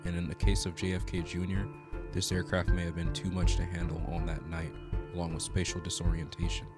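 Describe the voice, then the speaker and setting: A man with a very low voice delivers scripted documentary narration. He is solemn and dramatic, drawing out the suspense. Loud music plays underneath him throughout. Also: little pitch movement, fairly quick. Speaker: video narrator, channel host.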